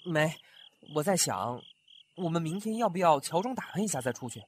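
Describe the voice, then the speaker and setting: A man's voice: a little high, a little fast, slight background noise. A man voicing a kind, flustered young scholar in a costume radio drama, chatty and fretful. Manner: chatty, animated, fretful inner asides. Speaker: voice actor.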